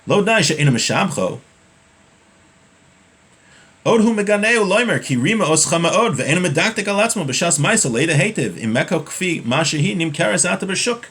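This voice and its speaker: A man's voice: high-pitched, fairly bright tone. A man in his thirties teaching from a webcam in a small home room, reading Hebrew passages aloud and explaining each one in casual English. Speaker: Torah teacher. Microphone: webcam at desk.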